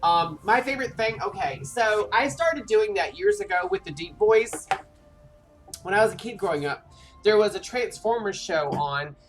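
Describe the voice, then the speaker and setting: A man in his thirties with a light, high voice, telling stories in animated, chatty gameplay talk. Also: moderate pace. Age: 30s. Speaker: streamer. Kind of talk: casual gameplay chat. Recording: voice a bit off-mic with faint game music.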